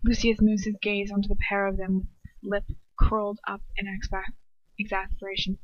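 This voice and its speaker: An amateur woman narrator reads a story aloud at a middle pitch, sometimes stumbling and repeating herself. The recording is dry, with a slightly dull, lo-fi sound. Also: completely flat delivery, moderate pace, no room sound. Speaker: amateur fan fiction reader. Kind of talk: narrating a story in first person.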